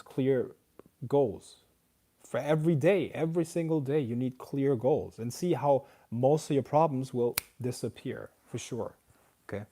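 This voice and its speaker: A man's voice: medium pitch, warm tone. A man hosting a casual livestream Q&A, reading viewer questions aloud and then answering them in an emphatic, lecturing way. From outside America.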